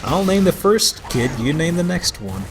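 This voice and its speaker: Lively man doing joking game commentary. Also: a little high, normal speed, background noise. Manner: joking, dry wordplay. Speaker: let's play host playing the game. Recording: game music behind commentary. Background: video game music.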